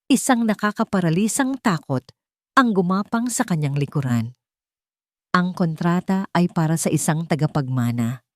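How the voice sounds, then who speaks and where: Low-pitched woman narrating romance, lively intonation. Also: dry recording, clean studio sound. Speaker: narrator of romance audio stories. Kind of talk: dramatic scripted storytelling narration.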